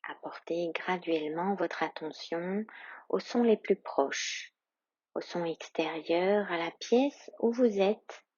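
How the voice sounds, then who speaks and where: A woman yoga instructor leading a guided meditation in a calm, soothing, soft and breathy voice with long, measured pauses. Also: on the low side, no echo, dull audio.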